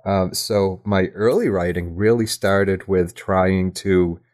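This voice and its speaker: Man, low-pitched voice, interview guest, reflective, casual, modest, candid, slightly halting delivery. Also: a little mellow. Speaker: podcast guest, audio drama writer.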